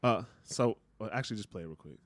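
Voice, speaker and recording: A man with a deep voice chats casually as a podcast co-host, animated and full of slang. His delivery is lively, and the sound is dry and close. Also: fast talker, no echo. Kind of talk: casual chat.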